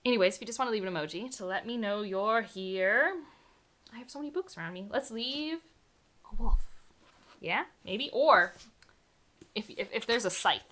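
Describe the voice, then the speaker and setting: A woman's voice: medium pitch, lively intonation, moderate pace, pauses often, fairly bright tone. A woman book vlogger chatting casually to camera, enthusiastic and full of "like" fillers. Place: home room surrounded by books.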